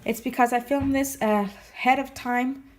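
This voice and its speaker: A woman chatting casually in a vlog, her voice slightly hoarse as she gets over losing it, rambling with many restarts and fillers. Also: on the high side, fairly even intonation, a little slow, a little mellow. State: recovering from lost voice, slightly hoarse. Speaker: scrapbooking vlogger. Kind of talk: casual show-and-tell chat.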